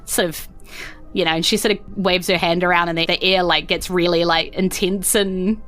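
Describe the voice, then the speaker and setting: A woman's voice: lowish voice, little pitch movement, fairly quick, a bit bright. Kiwi woman game master, chatty loose storytelling, lots of like n sort of, bit of music behind. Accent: New Zealand. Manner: loose, conversational, hedging with "like" and "sort of". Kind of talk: casual improvised tabletop game narration.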